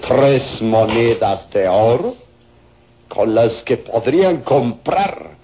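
An actor playing a corpulent, greedy villain in a radio serial, with a sly, taunting manner. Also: male voice, medium pitch, big pitch swings. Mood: lewd, tempting. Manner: sly, taunting, drawn-out delivery with menacing chuckles. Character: corpulent, greedy, sinister male villain hiring killers. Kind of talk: Spanish-language radio serial acting. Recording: dull, hissy old radio recording.

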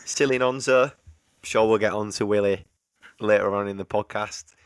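Low-voiced man, hesitant football chat. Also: fairly even intonation, moderate pace, clean tone, no room sound, studio quality. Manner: hesitant, repeats words while thinking. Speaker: podcast co-host. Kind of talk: casual football podcast chat. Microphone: remote call recording.

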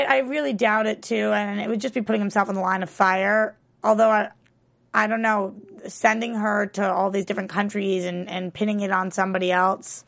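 A woman's voice: mid-range voice, gravelly, fairly bright tone. A woman co-hosting a casual TV recap chat, chatty and full of questions, laughing often. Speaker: co-host.

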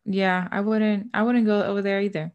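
A woman's voice: mid-range voice, little pitch movement, normal speed, muffled recording. A woman whose first language is Spanish, co-hosting a podcast in casual chat, rambling and sometimes stumbling over words. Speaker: podcast co-host.

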